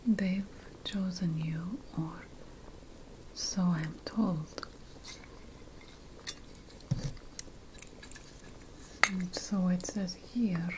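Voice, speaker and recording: A woman playing an ancient witch priestess, a patient and lofty potion scholar, in a hushed, deliberate ASMR fantasy roleplay. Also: on the low side, noisy background. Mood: hushed, condescending. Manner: hushed, deliberate, lingering over lists.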